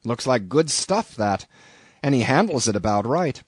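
A man voicing a gruff, blustering old whaling captain in a storybook reading, loud, hearty and commanding. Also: medium pitch, very animated, normal speed, dry recording. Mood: hearty excitement.

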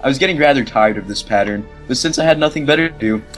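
A man's voice: medium pitch, animated, fast, fairly bright tone, soft background music, low-quality recording. A man reading a horror story aloud for laughs, chuckling through the lines. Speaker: reader of a creepypasta. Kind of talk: comedic dramatic reading.

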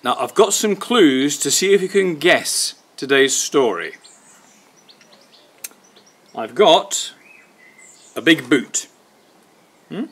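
Slow, expressive man in his sixties. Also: on the high side, slightly rough. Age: 60s. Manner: deliberate, pausing between phrases. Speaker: children's storytime reader. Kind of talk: expressive picture-book reading to young children. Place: outdoors in a garden by wooden fencing.

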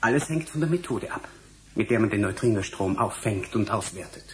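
An actor playing a young, irritable, self-absorbed scientist in a German radio drama, impatient and lecturing. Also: male voice, lowish voice, expressive, brisk, slight background noise, close to an everyday voice. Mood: excited lecturing.

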